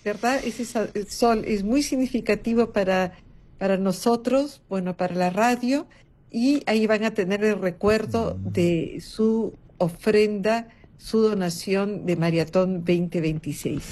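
A woman's voice: medium pitch, a little slow, darkish tone. A woman, co-hosting a radio fundraiser and explaining things earnestly. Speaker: radio co-host.

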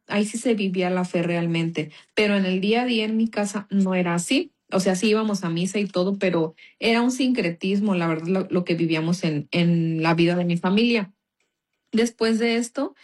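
A woman from Mexico with a Mexican accent gives an informal personal testimony in a conversational, earnest, explanatory manner. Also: medium pitch. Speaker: speaker giving a religious testimony.

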